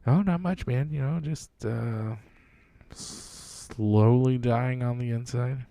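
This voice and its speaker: A man's voice: medium pitch, slow pace, gravelly, dark tone, no room sound. A man co-hosting a podcast in casual chat, joking about himself and then answering in a thoughtful way. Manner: self-deprecating, joking, thoughtful. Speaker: podcast co-host, Air Force veteran.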